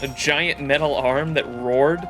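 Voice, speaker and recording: A man with a bright, punchy voice and an average pitch gives casual gameplay commentary. He is slangy, profane and jokey, talking close to the mic over soft game music. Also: steady tone, measured pace. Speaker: game livestreamer. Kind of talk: casual gameplay commentary, reading dialogue aloud.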